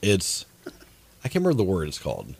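Very animated man in casual banter. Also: low-pitched, moderate pace, creaky, breathy edge. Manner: short reactive interjections and questions. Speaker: podcast co-host.

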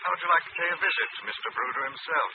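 An actor playing a composed, urbane gentleman sleuth in an old-time radio drama. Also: a little high, lively intonation, rapid-fire, natural voice. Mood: intent. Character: suave, inquisitive gentleman sleuth, composed adult man. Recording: tinny, noisy vintage radio recording.

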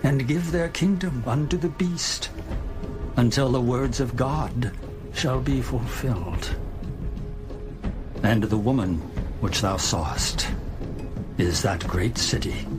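A man playing a solemn angel who explains a prophetic vision with deliberate delivery in a dramatized scripture reading. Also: mid-range voice, music in the background, close to an everyday voice.

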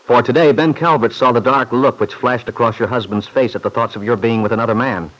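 A man's voice: medium pitch, fairly quick, fairly bright tone, close to an everyday voice, low-quality recording. Male actor as knowing, ominous radio narrator. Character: ominous, knowing narrator addressing the heroine directly. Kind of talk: old-time radio scripted narration.

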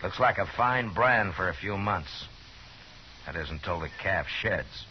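An actor playing a steady, shrewd frontier lawman in a 1950s radio Western, measured and matter-of-fact. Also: low voice, slight background noise. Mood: explaining. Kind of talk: 1950s radio drama acting with narration. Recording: dull vintage 1950s radio recording with music.

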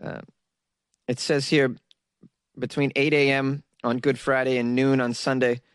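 A man's voice: medium pitch, level tone, a little slow, smooth, no room sound, studio quality. A man reading news stories aloud and breaking off into sarcastic, rambling jokes aimed at his viewers. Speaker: solo news commentary host. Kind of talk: casual commentary reading news articles.

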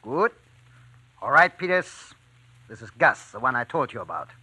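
An actor playing a stern, suspicious spy in a 1940s radio drama, curt and commanding. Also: male voice, mid-range voice, sing-song, moderate pace, pushed and bright, breathy edge, no room sound, heavily acted. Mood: approving, businesslike. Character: stern, suspicious male foreign spy giving orders. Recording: noisy, clicking old radio recording.

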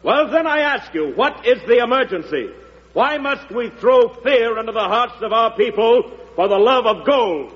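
A man's voice: very high voice, animated, moderate pace. An actor playing a pompous, fervent American congressman, declaiming like an orator in a 1940s radio drama. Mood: fiery oratory. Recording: muffled old radio broadcast.

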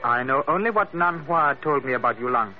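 A man's voice: mid-range voice, animated, close to an everyday voice. An actor playing a courteous, dignified young Chinese man in hiding, speaking formal, careful English in an old-time radio drama. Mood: earnest, grave. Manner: formal, measured, careful diction. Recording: dull old radio recording, faint music.

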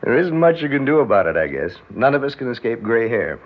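Fond middle-aged husband, very varied pitch, hearty, muffled vintage radio drama. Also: male voice, big pitch swings, fast. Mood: breezy, practical. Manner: hearty, teasing, chuckling. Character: jovial, affectionate middle-aged husband and father. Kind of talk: mid-century radio drama acting. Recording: muffled, noisy old radio recording.

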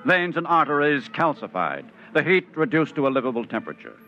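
An actor narrating a science fiction story in a grave, dramatic 1950s radio style. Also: male voice, fairly high pitch, very animated, fairly quick. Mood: measured. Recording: dull old radio, music underneath.

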